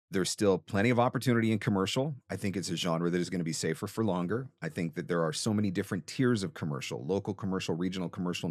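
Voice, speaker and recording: Low-voiced man giving emphatic advice. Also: no echo. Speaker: host and voiceover coach. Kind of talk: conversational advice in an interview.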